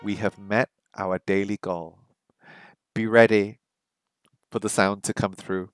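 A middle-aged man live-streaming from a small room at home on a headset mic, chatting casually and repeating practice phrases slowly. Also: on the low side, little pitch movement, music in the background. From English first language, lives in Hong Kong. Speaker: streamer learning Japanese.

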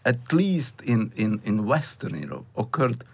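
A middle-aged man from eastern Slovakia interviewing a guest, asking a long question with halting restarts, at a desk microphone in a studio. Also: medium pitch, expressive, slightly rough. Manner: halting, repeats words while framing a question. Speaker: interviewer, associate professor. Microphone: desk mic on stand.

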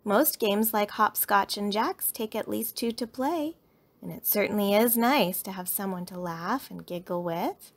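A woman voicing a calm mother bear in a storybook, reasoning in a measured, quiet, gently teasing way. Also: medium pitch. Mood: gentle reasoning.

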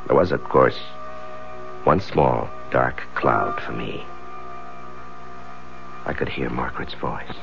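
A man's voice: rumbling low, takes it slowly, gravelly, long pauses, full character voice. A man playing a troubled middle-aged American lawyer in a 1970s radio drama, speaking in a brooding, hesitant manner. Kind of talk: 1970s radio acting, brooding narration and dialogue. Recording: dull 1970s radio recording with music.

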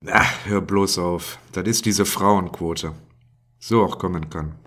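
A man playing a brash, boastful middle-aged police inspector in an audio drama parody, with gruff, slangy banter. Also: low voice, takes it slowly, fairly bright tone. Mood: gruff, grumbling.

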